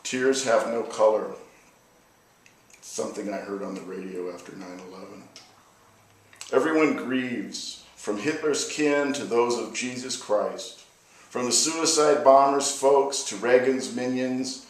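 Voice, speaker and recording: An American man speaking as a poet at a live reading, with measured, deliberate phrasing. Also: medium pitch. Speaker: poet reading own work. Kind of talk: live poetry reading with informal spoken introduction. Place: reverberant room at a live reading. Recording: echoey live room, distant microphone.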